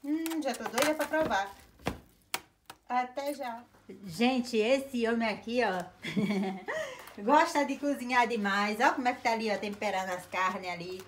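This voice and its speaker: Woman, Brazilian accent, high voice, lively casual vlog talk, noisy phone recording in a home kitchen. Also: measured pace. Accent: Brazilian Portuguese. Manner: lively, affectionate, laughs often. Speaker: vlogger. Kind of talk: casual chatty narration to viewers. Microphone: handheld phone camera.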